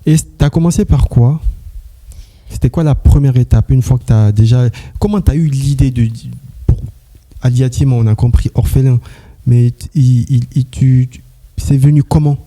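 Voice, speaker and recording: A man's voice: mid-range voice, moderate pace, dark tone. A man interviewing a guest in a casual, encouraging way, often affirming her with short replies. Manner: encouraging, frequent backchannel affirmations.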